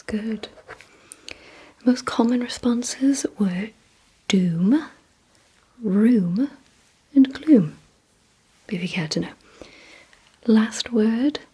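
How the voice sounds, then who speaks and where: A woman performs ASMR in a very breathy, almost entirely unvoiced whisper. She speaks slowly and deliberately, guiding the listener through instructions in short, hushed phrases. The tone is soft and mellow, with little edge to it. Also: medium pitch, poor audio. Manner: slow, deliberate, hushed delivery with short pauses. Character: calm, softly commanding female vampire examiner. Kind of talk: whispered ASMR roleplay, giving instructions and word games.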